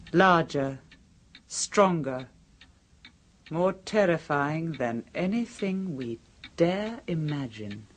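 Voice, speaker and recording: A woman's voice: low voice, exaggerated voice. An actress playing an ominous woman in a radio horror play, with a portentous, dramatic delivery. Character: ominous woman warning of monstrous revenge.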